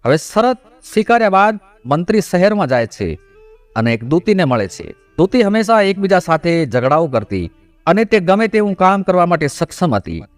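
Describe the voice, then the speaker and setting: Expressive high-pitched man telling a story. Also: no echo. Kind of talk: scripted narration of a devotional moral folk tale.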